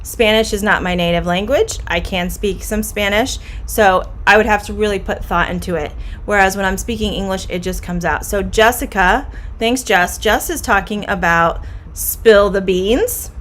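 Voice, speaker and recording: A woman's voice: mid-range voice, a bit bright, a little hiss or hum. Middle-aged Midwestern woman as an English teacher: friendly, explains patiently. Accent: Midwestern American. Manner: friendly, explains slowly and repeats phrases. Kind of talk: live-streamed idiom lesson.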